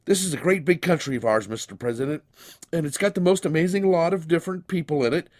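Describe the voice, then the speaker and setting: Man playing an earnest high-pitched young countryman. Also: quick pace, darkish tone, natural voice. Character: earnest, plain-spoken young countryman, humble and honest.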